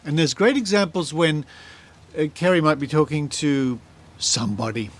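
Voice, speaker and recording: A man's voice: on the high side, moderate pace. A man hosting a casual, conversational podcast interview. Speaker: podcast host interviewing a guest.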